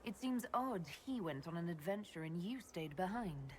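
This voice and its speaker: A woman's voice: on the low side, animated, moderate pace, a little hiss or hum, natural voice. An actress playing a curious, direct female leader in a fantasy video game cutscene. She asks short, direct questions. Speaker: player character, leader of an order.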